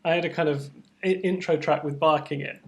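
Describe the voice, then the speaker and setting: A man's voice: fairly high pitch, steady tone, fairly quick, a little hiss or hum. A man co-hosting a live stream and handling the tech, chatting casually with dry, understated humour. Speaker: co-host running the stream tech. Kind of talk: casual chat.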